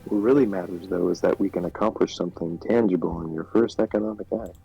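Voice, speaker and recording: A man's voice: low-pitched, fast, creaky, breathy edge, no room sound, faint music behind, exaggerated voice. A man voicing a formal government minister who briefs his president in a political game, recorded in dull lo-fi audio. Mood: earnest, businesslike. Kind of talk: amateur voiced read of game dialogue.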